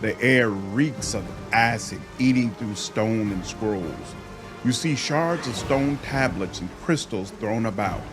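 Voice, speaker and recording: A man reading fantasy game narration aloud in an expressive storytelling style, as a gaming narrator. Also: fairly low pitch, slow pace. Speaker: gaming video creator and narrator. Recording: music behind the voice. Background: game music.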